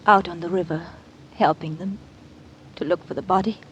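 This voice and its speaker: An actress playing an anxious but resolute wife, earnest and dramatic in 1940s radio acting style. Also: female voice, on the low side, steady tone, moderate pace, slight background noise, natural voice, poor audio.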